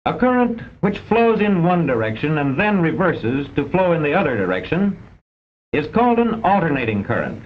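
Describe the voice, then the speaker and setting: A man with an American accent delivers formal scripted narration for a vintage instructional film, measured and didactic with precise enunciation. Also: a little high, big pitch swings. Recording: dull, band-limited old film soundtrack.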